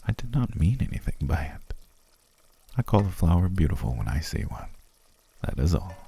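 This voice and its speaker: A man playing a courteous, flattering demon king in an intimate ASMR roleplay, with formal, old-fashioned phrasing and a husky, gravelly voice. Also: normal speed, exaggerated voice. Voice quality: very deep, gravelly, husky, breathy. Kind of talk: ASMR roleplay, intimate second-person acting.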